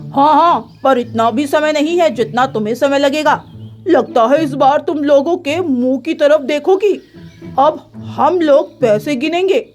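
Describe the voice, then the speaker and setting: Cartoon pigeon farmer, smug male, voiced by a woman: loud, taunting, high-pitched, over background music. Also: a little slow.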